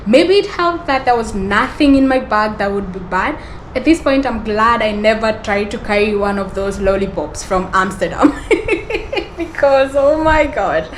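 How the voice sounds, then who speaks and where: Podcast host, woman, slightly high voice, loose rambling storyteller who laughs a lot. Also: expressive, normal speed, a little hiss or hum, poor audio. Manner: rambling, self-correcting storytelling with frequent laughter. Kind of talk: storytelling monologue.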